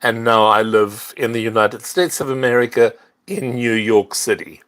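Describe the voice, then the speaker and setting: A man's voice: mid-range voice, fairly even intonation. An older man from South Africa, hosting a casual livestream and chatting earnestly with viewers. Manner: unhurried, earnest, warm greetings to viewers. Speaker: livestream host.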